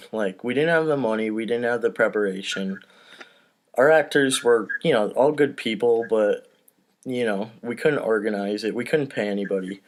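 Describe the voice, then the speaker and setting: Informal male podcast host, noisy recording. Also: mid-range voice. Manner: informal, self-deprecating, sweary. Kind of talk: casual, rambling podcast chat. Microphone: home mic on online voice call. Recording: noisy online voice-chat recording.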